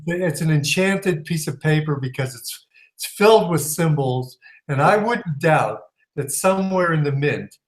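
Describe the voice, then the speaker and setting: A man's voice: a little high, animated, unhurried. A man appearing as a guest on a talk show, speaking hesitantly with many fillers and speculating aloud.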